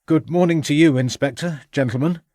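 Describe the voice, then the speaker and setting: A man's voice: on the high side, a little fast, dark tone, no echo, clean studio sound, pitched above the performer's own voice, lightly acted. A man voicing a genial Victorian clergyman in a storybook, courteous and formal. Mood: pleasant.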